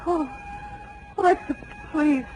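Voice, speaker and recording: A woman's voice: high-pitched, slow, poor audio. An actress playing a frail, distraught woman in a 1940s radio melodrama, faltering as she speaks. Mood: pleading. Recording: old 1940s radio broadcast, music behind. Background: music.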